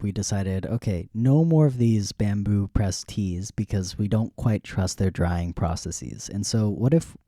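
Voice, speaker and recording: A young man with a lowish, mellow voice, telling a story at an easy, rambling pace. He laughs now and then between thoughts. The sound is close and dry, with no room around it. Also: no room sound. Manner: relaxed, rambling, laughs often. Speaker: podcast host. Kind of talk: casual storytelling.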